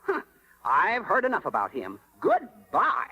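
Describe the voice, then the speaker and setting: Tiny fussy cricket, wildly swooping voice, excitable folksy scold, old radio play with music. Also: male voice, high voice, measured pace, breathy edge, dull audio, heavily acted. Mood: indignant. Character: small male cricket conscience, fussy, indignant, caring. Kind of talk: 1930s radio acting. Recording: vintage 1939 radio with music.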